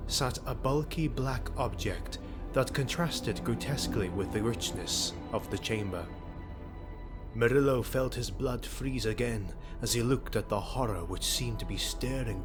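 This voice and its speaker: A man narrates a fantasy audio drama in a scripted, dramatic style. His voice is of middling pitch, with clearly audible music underneath.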